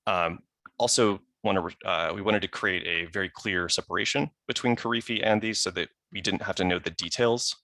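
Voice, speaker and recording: Low, creaky man presenting. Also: fairly even intonation, brisk, bright, forceful tone, no echo. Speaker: software engineer presenting. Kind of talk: informal technical presentation. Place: remote video call.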